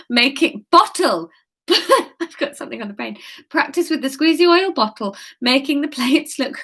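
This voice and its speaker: Woman, comedian, slightly tipsy, giggly, chatty, reading aloud on a stream, fairly high-pitched. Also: a bit bright. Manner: giggly, chatty, reacts to comments. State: a bit tipsy. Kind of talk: live-streamed storybook reading with chat asides.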